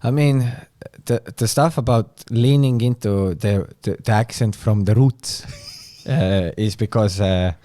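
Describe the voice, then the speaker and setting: A man with an Estonian accent, a comedian chatting as a guest and often pausing to search for words. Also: fairly low pitch, mellow. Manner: hesitant, searching for words, many fillers. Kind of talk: casual chat.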